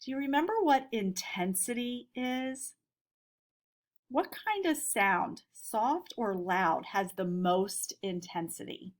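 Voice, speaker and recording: A woman with an American accent and a fairly high voice speaks as an elementary teacher. She guides children through a read-aloud lesson with simple, clearly phrased questions and explanations. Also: animated, slow.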